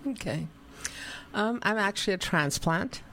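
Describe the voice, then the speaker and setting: A woman's voice: mid-range voice, animated, a little slow, fairly bright tone, slight background noise. Matter-of-fact woman guest, Polish-born. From Poland. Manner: plain, matter-of-fact storytelling with fillers. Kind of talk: casual interview answers.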